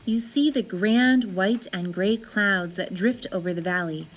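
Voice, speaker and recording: A woman reading a children's story aloud as an expressive narrator, with deliberate, dramatic phrasing. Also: mid-range voice, soft background music.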